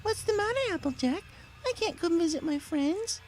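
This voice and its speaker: A man's voice: extremely high pitch, lively intonation, normal speed, voice pushed up, rough recording. A man voicing a female killer pony who is menacing and frighteningly cheery, taunting in a mock-sweet, sing-song way, in a read-aloud story.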